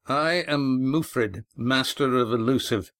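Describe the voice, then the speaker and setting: A man voicing a sardonic, cruel ship's master who gives orders in a slow, sneering, commanding way. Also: medium pitch, a bit bright, no room sound, clean studio sound, natural voice.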